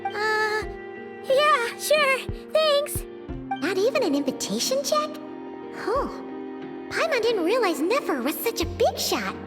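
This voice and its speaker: A tiny, excitable fairy sidekick in a video game. The voice is very high and childlike, bouncing all over in pitch, with prominent music behind it. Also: female voice, takes it slowly, heavily acted. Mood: puzzled. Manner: refers to self in third person, exclamatory. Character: small chirpy childlike fairy companion, excitable and nosy.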